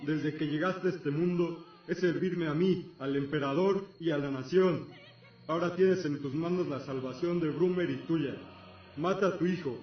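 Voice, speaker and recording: Imperious, scornful griffin god for fantasy radio drama, male actor; slightly high voice, reverberant, music underneath. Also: natural voice. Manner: commanding, solemn, contemptuous. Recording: echoey, distant, dull lo-fi with music.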